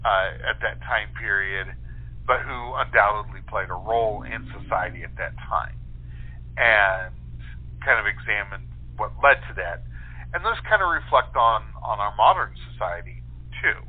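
Man, low pitch, thin telephone sound, interview guest, thoughtful, halting delivery, conversational. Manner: thoughtful, halting, often rephrasing. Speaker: guest playwright, actor and director. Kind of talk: casual interview conversation about writing. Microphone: telephone call. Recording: tinny phone-line sound.

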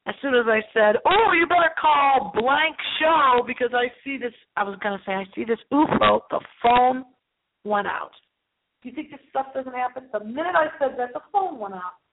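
A woman with a fairly high voice hosts a radio show alone, rambling in a theatrical, emphatic way. She repeats phrases for effect. The sound is thin and narrow, as if carried over a telephone line. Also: animated, normal speed, a little hiss or hum. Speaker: host of a paranormal radio show. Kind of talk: rambling solo radio monologue.

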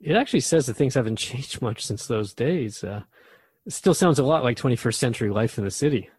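A man's voice: mid-range voice, expressive, brisk, a little mellow, slightly rough, no echo. A man playing a curious radio host in a radio drama, asking thoughtful questions in a conversational, slightly hesitant way. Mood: musing, intrigued. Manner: inquisitive, conversational, hesitant asides. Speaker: radio host interviewing the Keeper.

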